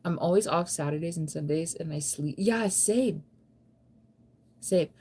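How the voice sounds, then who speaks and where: A woman's voice: on the low side, measured pace, warm tone, slightly rough. An American woman in her thirties chatting casually on a livestream, rambling and conversational with a playful, self-teasing manner. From United States. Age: 30s. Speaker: live streamer. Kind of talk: casual late-night livestream chat, reading and answering viewer comments.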